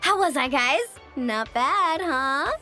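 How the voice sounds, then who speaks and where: A woman's voice: high-pitched, lively intonation, slow, pushed and bright, soft background music, heavily acted. A woman voicing an upbeat, breezy, sporty young woman in a video game, casual and full of enthusiasm. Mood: elated.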